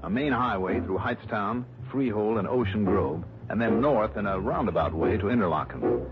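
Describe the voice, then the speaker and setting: An actor playing a cool, wry American insurance investigator in a 1960s radio detective drama, dry and measured. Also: male voice, fairly low pitch, animated, loud music behind the voice, natural voice. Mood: wry, relaxed. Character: cool, wry male insurance investigator narrating his case. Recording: dull, very noisy vintage radio recording.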